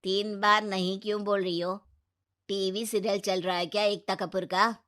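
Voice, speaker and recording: A woman voicing an officious male messenger of death in a cartoon story, with a stern, deadpan, scolding manner. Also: mid-range voice, moderate pace, no echo, studio quality, voice pushed down, natural voice. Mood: stern, mocking. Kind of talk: Hindi cartoon storytelling dub.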